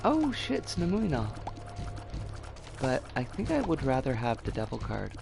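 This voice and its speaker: Scratchy-voiced man streaming, lively pitch swings. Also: normal speed, creaky, music in the background. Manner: casual, sweary, opinionated. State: hoarse, voice strained by an oncoming cold. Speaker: game streamer. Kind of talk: casual live game commentary and chat.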